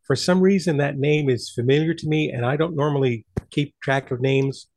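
A man with a gravelly, husky voice, chatting casually as part of a group discussion. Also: a little high, moderate pace, darkish tone. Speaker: participant in an old-time radio discussion group. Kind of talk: casual chat.

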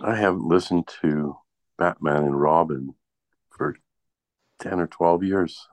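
A man with an extremely deep voice chats casually. The sound is dry, without room echo. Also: takes it slowly. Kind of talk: casual chat.

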